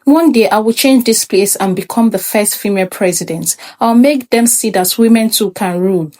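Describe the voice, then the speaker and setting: A woman's voice: mid-range voice, normal speed, a little mellow, lightly acted. A woman acts out a determined teenage girl in a storybook tale. The girl is earnest and insistent, a defiant dreamer who will not back down.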